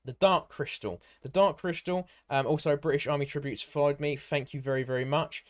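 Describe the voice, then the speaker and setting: A man's voice: a little high, steady tone, brisk. A man hosting a casual live stream, rambling in an informal, matey way as he hops between viewer comments. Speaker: livestream host and content creator.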